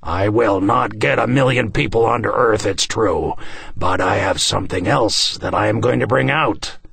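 A man's voice: lowish voice, fairly even intonation, slow, creaky, slightly rough, exaggerated voice. A man voicing a proud reptilian underling in an audiobook, fawning yet defiant, with emphatic, declamatory delivery. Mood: pleading, boastful. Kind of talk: audiobook character voice.

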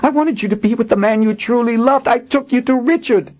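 A man's voice: very high voice, fairly quick, darkish tone, voice pushed up. A man voicing a fierce, proud young warrior woman who speaks with an intense, urgent edge. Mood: pleading. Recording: dull lo-fi audiobook recording.

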